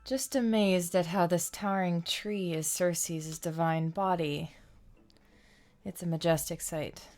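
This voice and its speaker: A woman reading a game's lines as a reserved, stoic young male traveller, deadpan and measured. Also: on the low side, faint music behind. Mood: composed. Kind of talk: reading video game dialogue aloud.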